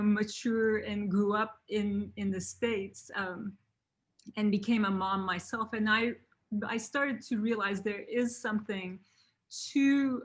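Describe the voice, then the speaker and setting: A woman in her forties from Turkey, a native Turkish speaker, hosting an online talk, unhurried with many fillers and restarts. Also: mid-range voice, a bit bright. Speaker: host.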